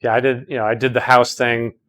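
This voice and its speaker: A man in his late thirties chatting casually while playing golf outdoors, easygoing and quick to laugh. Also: mid-range voice, flat delivery, fairly bright tone. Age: 30s. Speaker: golf stream host.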